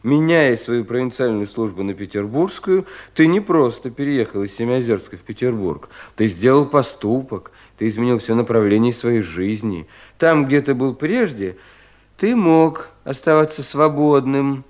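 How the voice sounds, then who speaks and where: An actor playing a troubled, self-doubting young provincial official in a 1970s Russian radio play, in an anxious, theatrical manner. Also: male voice, mid-range voice, expressive, moderate pace, lightly acted. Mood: declamatory reading. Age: young adult. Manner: brooding, theatrical, self-questioning, with sudden swells and hushed drops.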